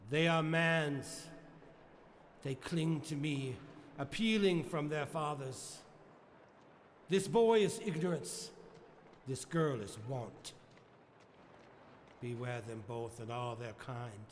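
Man voicing a solemn doomed ghost, unhurried, slightly high voice. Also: slight background noise. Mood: grave. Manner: slow, grave, weighty. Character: Ghost of Christmas Present, dying grave spirit.

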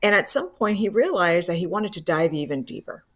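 Deep-voiced lady from cuba, professor guest on radio, really enthused about her book. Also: expressive, a little fast, a bit bright.

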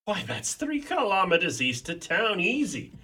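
A man's voice: high-pitched, wildly swooping pitch, normal speed, sharp tone, husky, exaggerated voice. A man playing an impatient, sceptical Irish nephew in a radio play.

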